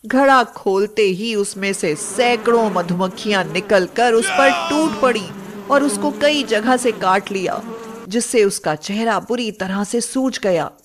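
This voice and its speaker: A woman narrates a moral bedtime story. Her voice sits at a middle pitch. She reads the scripted lines very slowly, phrase by phrase. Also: noisy background.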